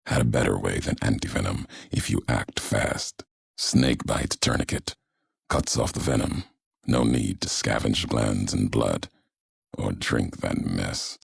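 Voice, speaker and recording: Brooding wasteland wanderer for a game: heavily echoing gravel voice, slow, grave, deliberate delivery. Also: male voice, heavily acted. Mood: calm, instructive. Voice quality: very deep, gravelly. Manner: slow, clipped fragments, ominous and deliberate. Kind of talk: video game character dialogue. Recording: heavy echo on the voice.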